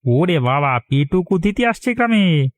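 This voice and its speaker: A man playing a greedy, scheming village husband who trades in rice, in an animated, wheedling cartoon style. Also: fairly high pitch, measured pace, no echo. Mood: curious. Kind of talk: Bengali cartoon dialogue.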